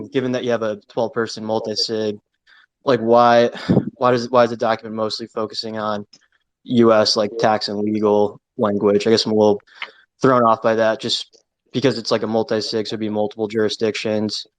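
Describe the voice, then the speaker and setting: Guy with a lowish flat voice, dry close sound, just talking casually and hedging a lot. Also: moderate pace, dry recording, poor audio. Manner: hedging, conversational, heavy use of "I mean". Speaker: audience participant in a live audio discussion. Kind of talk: casual unscripted discussion. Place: live online audio room.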